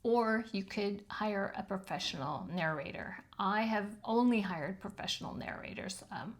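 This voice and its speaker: A woman over fifty with a high-pitched voice, giving frank, chatty advice on self-publishing with plenty of ums. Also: vocal fry. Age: 50s or older. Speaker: channel host teaching self-publishing. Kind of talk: casual instructional talk to camera.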